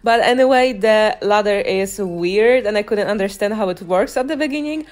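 A woman's voice: mid-range voice, moderate pace. A woman giving casual, chatty voice-over commentary on gameplay, speaking conversationally as a video creator. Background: music behind the voice.

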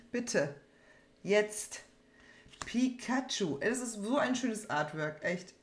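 A woman's voice: medium pitch, lively intonation, slow. A young adult woman chatting casually and informally while opening card packs as a host, rambling and often repeating her phrases. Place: small room at home, indoors. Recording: roomy echo, background noise, card crinkling.

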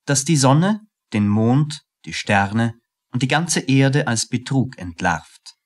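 A male narrator reads scripted radio drama linking passages. His delivery is sober and matter-of-fact, with a medium-pitched voice. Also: expressive, slow.

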